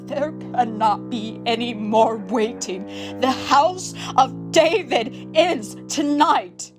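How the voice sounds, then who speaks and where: A proud, high-voiced, slow-speaking queen. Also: music in the background. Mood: cold, ruthless. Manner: imperious, theatrical, deliberate. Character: proud, grieving, ruthless ancient queen and mother. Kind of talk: dramatized Bible audio drama.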